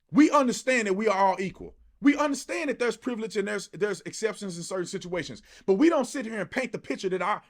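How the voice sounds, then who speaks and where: Male political commentator, high-pitched and animated, emphatic colloquial delivery with rhetorical punch.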